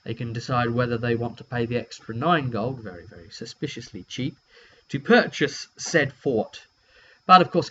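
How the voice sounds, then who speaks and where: A British man with a medium-pitched voice tells a game story in a loose, chatty way. He hesitates and rambles as he goes, unscripted. The recording is lo-fi and dull, with a light hum underneath. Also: fairly bright tone. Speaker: game master and vidcast host. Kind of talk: casual session recap, unscripted.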